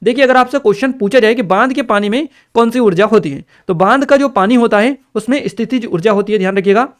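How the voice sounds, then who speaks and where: A man's voice: high-pitched, fast talker. A man explains exam questions as a coaching teacher, repeating key terms and reminders in an instructional way. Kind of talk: explanatory exam-paper lecture to camera or screen.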